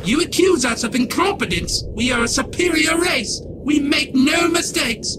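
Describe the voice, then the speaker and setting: A man's voice: extremely high pitch, expressive, normal speed, background noise. A man playing a haughty alien scientist in a comic dub, speaking in a formal, stilted and superior manner. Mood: haughty, cold.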